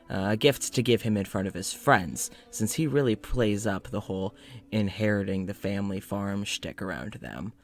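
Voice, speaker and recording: A man playing a tired, awkward, gentle doctor confiding in an audio diary, conversational and dryly wry. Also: fairly low pitch, close to an everyday voice. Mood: fond, shy.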